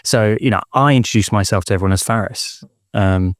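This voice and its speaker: A man's voice: lowish voice, a little fast, dry recording, studio quality. A British man speaking reflectively as an interview guest, thoughtful and checking his points with little tag questions. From United Kingdom. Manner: thoughtful, peppers points with "right?". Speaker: interview guest, inclusion consultant.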